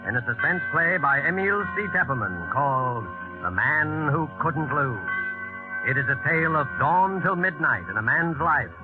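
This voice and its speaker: An actor playing a mysterious, ominous host, narrating a 1940s radio suspense show in a deliberate, dramatic manner. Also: male voice, fairly high pitch, lively intonation, lightly acted. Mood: ominous, formal. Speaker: announcer and host. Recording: tinny old radio with loud music.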